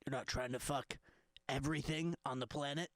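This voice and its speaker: A man's voice: mid-range voice, steady tone, brisk, fairly bright tone, no room sound, studio quality. A man co-hosting a comedy podcast, playfully riffing along with improvised jokes. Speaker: podcast co-host.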